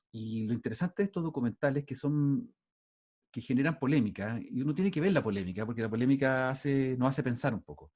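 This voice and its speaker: Husky Chilean man lecturing online. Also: medium pitch, a little mellow. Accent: Chilean Spanish. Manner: conversational, rambling with restarts. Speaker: university lecturer. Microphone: video call.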